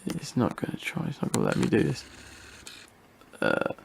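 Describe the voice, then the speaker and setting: A man's voice: mid-range voice, huge pitch swings, normal speed, gravelly, slight background noise. A British man giving a casual, unscripted hobby review, talking through what he shows in a hesitant, rambling way, thinking aloud.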